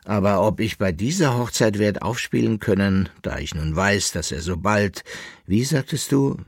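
Mann spielt wehmütig-schelmischen Geiger mit brüchiger Stimme. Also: male voice, fairly low pitch, moderate pace, breathy edge, no echo, studio quality. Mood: wry, evasive. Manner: hesitant, feigning calm. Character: wry, evasive, forgetful male fiddler, resigned yet cheerful. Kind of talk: dramatic audiobook storytelling.

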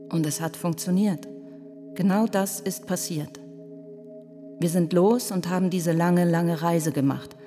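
Radio play: actress as resolute bereaved woman on the witness stand, reflective, clear music behind, cavernous reverb. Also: on the low side, natural voice. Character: grieving woman survivor giving testimony, reflective and resolute. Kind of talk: radio play acting, testimony.

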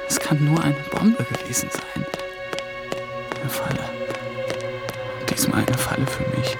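A young intern on the run in a radio drama, panicked and improvising. His middle-pitched voice swings widely as he mutters in breathy fragments, with loud music behind him. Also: full character voice. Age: young adult. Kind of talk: radio drama acting with inner monologue.

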